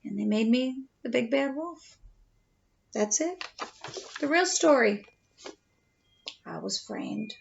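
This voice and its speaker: A woman voicing an aggrieved, slyly self-pitying male wolf who tells his side of a storybook tale with mock-innocent, drawn-out drama. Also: a little high, animated, gravelly, lo-fi sound, noisy background, exaggerated voice. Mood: wronged, self-pitying.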